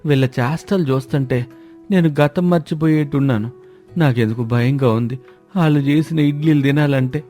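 A man's voice: mid-range voice, normal speed, mellow, soft background music, natural voice. A man voicing an exasperated, sarcastic older uncle who complains dramatically in a family comedy story. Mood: fearful grumbling.

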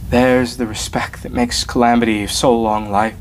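A man performing a Shakespearean soliloquy as a brooding, anguished young prince, hushed and deliberate, with weighty pauses. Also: mid-range voice, little pitch movement, close to an everyday voice. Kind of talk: Shakespearean stage monologue. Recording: faint background room noise.

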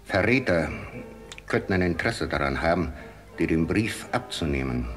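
Radio play monarch: commanding, solemn Tsar, low voice, measured imperial delivery. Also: male voice, animated, a bit bright, soft background music. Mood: grave warning. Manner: formal, imperious, measured phrasing. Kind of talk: German radio play acting.

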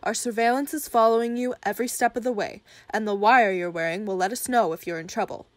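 An actress playing a businesslike, exasperated police surveillance handler in a comedic radio play. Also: female voice, medium pitch, fast, dry recording, natural voice.

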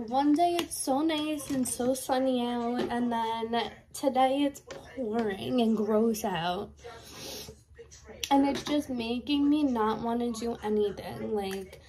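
A woman's voice: on the high side, warm tone, low-quality recording. Congested, chatty woman. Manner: chatty, candid, filler-heavy. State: congested and sniffly. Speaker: lash tech and beauty vlogger. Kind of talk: casual get-ready-with-me chat. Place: bedroom, indoors. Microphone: camera in front, close.

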